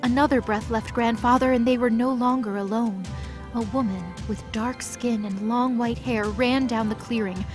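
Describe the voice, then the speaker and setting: A woman's voice: on the high side, fairly even intonation, moderate pace. A woman reading scripted story narration as the narrator of a mythology audio drama. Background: music.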